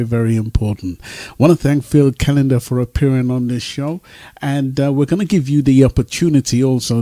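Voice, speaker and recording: A male radio host talks in a casual, conversational way. His tone is dark and relaxed, recorded dry and close with little room around it. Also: medium pitch, dry recording. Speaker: radio talk show host. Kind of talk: casual talk show sign-off.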